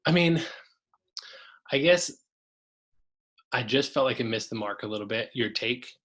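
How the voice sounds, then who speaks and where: A man's voice: medium pitch, animated, a little slow, a bit bright. A man, a coach and podcast guest, talking casually and enthusiastically, often restarting his sentences.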